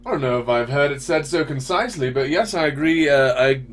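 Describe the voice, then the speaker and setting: A man's voice: a little high, animated, a little fast, a little hiss or hum. A man speaking as host of a casual, opinionated question-and-answer show, in a blunt, informal way. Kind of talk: casual opinionated commentary, answering audience messages.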